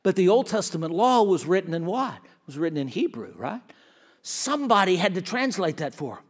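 A man preaching a sermon as a guest speaker. He stresses his points, repeats phrases and restarts them, and moves between quiet, slow stretches and loud, quick ones. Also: high voice, very animated.

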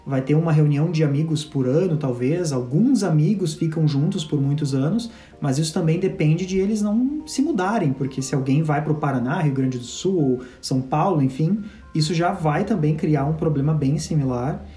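Reflective man, mellow voice. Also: fairly high pitch, animated, normal speed, hardly any pauses. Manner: reflective, talks in long stretches. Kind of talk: casual conversational chat. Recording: echoey room with faint music.

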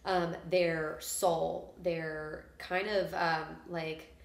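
Low-voiced woman, echoey room. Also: extremely slow, creaky. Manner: chatty, animated, filler-heavy storytelling. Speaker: musician guest. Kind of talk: casual podcast interview.

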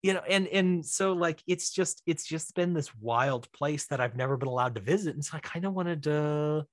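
A man's voice: on the high side, moderate pace, no room sound, studio quality. A man talking casually as a guest in a podcast interview, explaining things chattily with plenty of asides. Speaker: interview guest, writer-director.

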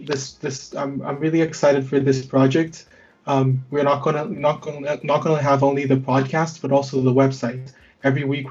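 A man's voice: medium pitch, a little mellow, dull audio. A young man hosting a student podcast conversation, hesitant with many fillers and restarts. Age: young adult. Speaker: podcast host.

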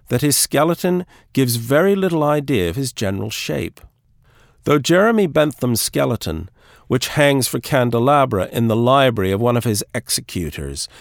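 A man's voice: mid-range voice, dry recording, studio quality. A man reading a literary audiobook as a scripted narration.

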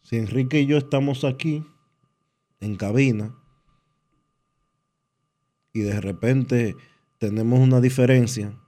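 A man, co-hosting a radio sports show from the booth and giving his opinion in an earnest, measured way with hesitant fillers. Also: medium pitch, dark tone. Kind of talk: radio commentary.

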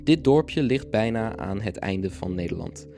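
A man's voice: lowish voice, lively intonation, measured pace, gravelly, mellow. A man reading a scripted introduction as the presenter of an audio drama podcast. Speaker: podcast presenter from a theatre collective. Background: guitar music.

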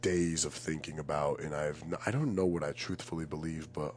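Very deep-voiced earnest young man. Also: a little fast, a little mellow, a little hiss or hum. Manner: earnest, emphatic, searching for words. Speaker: podcast guest, poet. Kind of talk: casual, reflective podcast conversation.

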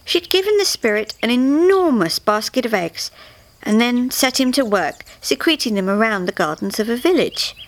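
A woman's voice: on the high side, animated, moderate pace. A woman narrating a scripted comic story expressively, as a storyteller reading live. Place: small theatre, live reading. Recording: faint noise, live theatre reading.

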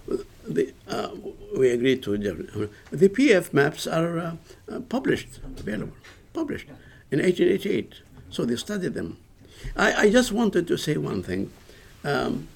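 Older man, impassioned and gravelly lecturer. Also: fairly high pitch. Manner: earnest, emphatic, rising to passion. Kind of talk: lecture and Q&A answers.

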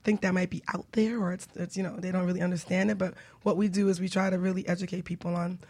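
Fast-talking woman co-host. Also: on the low side, flat delivery, a little mellow. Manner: chatty, with frequent fillers and restarts. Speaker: co-host of a radio show. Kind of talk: casual radio talk.

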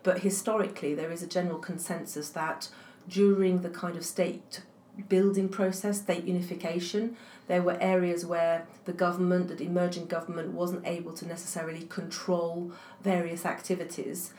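A woman with a lowish voice whose first language is French, speaking as an academic guest in an interview. She talks in a thoughtful way and fills her pauses with plenty of ums. The recording has a roomy echo and a faint hiss underneath. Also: level tone, darkish tone. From England. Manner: thoughtful, many fillers and restarts. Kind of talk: interview answers. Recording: echoey room, faint noise.

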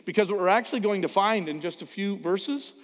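A pastor from Canada giving a sermon in a conversational way, with joking asides and frequent restarts. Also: male voice, high-pitched, a little fast. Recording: long hall reverb.

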